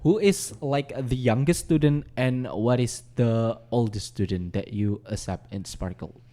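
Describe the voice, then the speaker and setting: Indonesian male radio host, chatty, mid-pitched, mixes languages. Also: unhurried, mellow. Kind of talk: radio interview.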